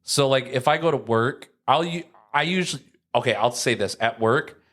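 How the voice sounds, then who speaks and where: A man's voice: mid-range voice, moderate pace, a bit bright. A young man, chatting casually as a podcast co-host in a rambling, anecdotal, slangy way. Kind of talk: casual chat.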